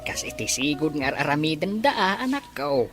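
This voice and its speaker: A woman's voice: low-pitched, very animated, moderate pace, faint music behind. A woman voicing an easygoing old grandfather in an audio drama, fondly grumbling, with an Ilocano accent. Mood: matter-of-fact. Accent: Ilocano Filipino. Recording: faint background noise.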